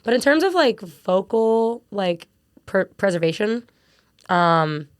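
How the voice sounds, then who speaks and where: A young woman with a raspy voice, a singer and vocal coach, chatting in an interview with lots of fillers. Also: mid-range voice, very animated, takes it slowly, dry recording, clean studio sound. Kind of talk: casual interview chat.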